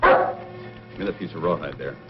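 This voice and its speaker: A man acting a calm, authoritative Mountie sergeant in an old-time radio adventure, speaking firmly and deliberately. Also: extremely low pitch, lo-fi sound. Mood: urgent, instructing. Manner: deliberate, firm, commanding. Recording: old band-limited radio recording, music.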